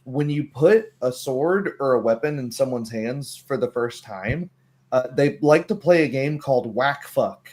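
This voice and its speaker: A man's voice: medium pitch, expressive, measured pace, a little mellow. Guy game master just chatting about rules, kinda rambly, does little quoted bits in a mid-range voice. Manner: rambling, acts out imagined remarks. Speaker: game master of a tabletop roleplaying group. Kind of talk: casual chat about tabletop game rules.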